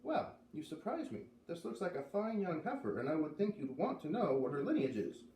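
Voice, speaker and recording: A man voicing a kindly, inquisitive livestock show judge in a storybook, questioning and reassuring in a measured way. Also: fairly high pitch, big pitch swings, dark tone, pitched above the performer's own voice. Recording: roomy, distant mic, faint noise.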